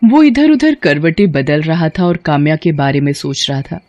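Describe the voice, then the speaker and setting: A woman narrating a scripted bedtime story in a steady, even manner. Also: low voice, normal speed, lo-fi sound.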